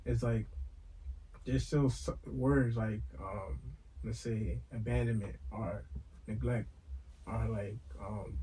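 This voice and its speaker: A man's voice: fairly low pitch, steady tone, takes it slowly, dark tone, slight background noise, poor audio. A man co-hosting a casual podcast, talking in a thoughtful, rambling way, full of "like" and "um". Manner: thoughtful, rambling, heavy on fillers like "like" and "um". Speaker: podcast co-host.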